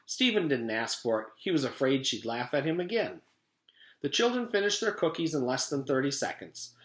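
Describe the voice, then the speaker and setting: Animated man reading a storybook, echoey room. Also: medium pitch, moderate pace. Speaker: teacher reading aloud. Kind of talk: children's storybook narration.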